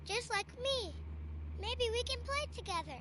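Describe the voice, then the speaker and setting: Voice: lively intonation, measured pace. A performer playing an innocent, curious six-year-old girl in an audio drama, asking simple childlike questions. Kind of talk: modern audio drama acting. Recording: rumbling vehicle noise behind.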